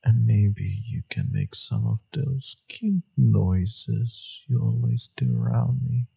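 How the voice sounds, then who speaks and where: Man acting a gravelly, husky, flirtatious villain. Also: very animated, mellow, exaggerated voice. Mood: seductive, pleading. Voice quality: low, husky, gravelly, breathy. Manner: slow, teasing, murmured delivery. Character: flirtatious teasing male villain, secretly tender and caring. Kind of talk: romantic audio roleplay, intimate scripted acting.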